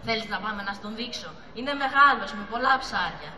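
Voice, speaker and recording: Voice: mid-range voice, expressive, pushed and bright. A young teenager playing a deceitful male dogfish who poses as a friend in an amateur school puppet play. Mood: coaxing. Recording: distant, echoey hall with audience noise.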